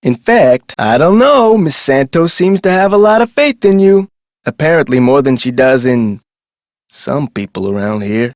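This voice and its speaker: A man's voice: a little high, no echo, studio quality. A man voicing a chatty, folksy security guard at an amusement park in a video game, with colloquial scripted lines. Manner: folksy, colloquial, animated delivery.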